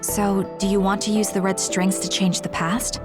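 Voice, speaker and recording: A woman's voice: medium pitch, level tone, moderate pace, over loud music, natural voice. An actress playing a reserved, blunt and pensive young female fighter in a video game, with guarded, matter-of-fact delivery. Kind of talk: English video game voice acting, dramatic dialogue.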